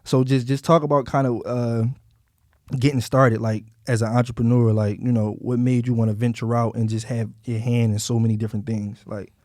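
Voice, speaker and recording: Philly dude hosting an interview, mellow voice, dry close sound, slangy n loose. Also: male voice, mid-range voice, level tone, brisk, no echo. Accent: Philadelphia. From South Philadelphia, US. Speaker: interview show host. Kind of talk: casual interview conversation.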